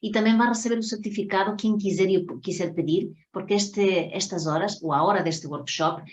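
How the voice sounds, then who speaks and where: A woman's voice: medium pitch, a little fast. A woman from Spain with a Spanish accent, teaching an introductory online workshop in a didactic way, often checking in with her listeners. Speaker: psychotherapy teacher.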